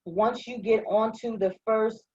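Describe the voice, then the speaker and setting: Hoarse woman trainer, husky, slightly muffled close mic, upbeat walkthrough voice. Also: a little high, fairly even intonation, a little slow, darkish tone, dry recording. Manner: upbeat, step-by-step, checks in with "okay?". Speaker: online trading trainer. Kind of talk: live screen-share software walkthrough.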